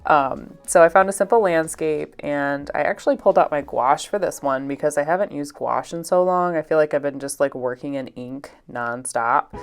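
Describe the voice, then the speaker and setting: A young woman with a congested, stuffed-up voice, chatting casually in a rambling way with many fillers and little laughs. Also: a bass voice. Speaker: art vlogger. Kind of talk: casual voice-over while painting.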